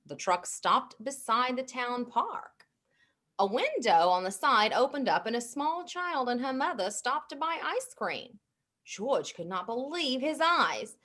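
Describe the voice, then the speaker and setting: Slow, very animated woman reading a bedtime story. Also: a little high. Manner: expressive, animated delivery.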